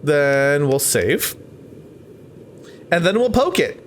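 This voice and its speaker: A man's voice: a little high, very animated, slow pace, slight background noise. A man hosting a let's play, chatting to the game characters and reading game text aloud.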